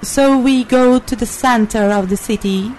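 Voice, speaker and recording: Brief: native Italian woman host, Italian-accented English, lively, chatty, fairly high voice. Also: a little slow, a little hiss or hum, rough recording. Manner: lively, chatty, hesitant when speaking English. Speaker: travel vlog host. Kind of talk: casual on-the-street presenting.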